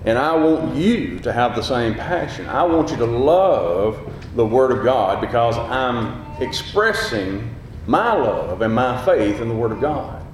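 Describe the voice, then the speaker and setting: A man with a gruff, booming voice preaches with passion, pushing hard on his key points. His voice rings through a very reverberant room, and a faint low rumble of noise sits underneath. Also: sing-song, unhurried. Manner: passionate, emphatic preaching with rising peaks. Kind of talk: sermon. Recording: very echoey hall.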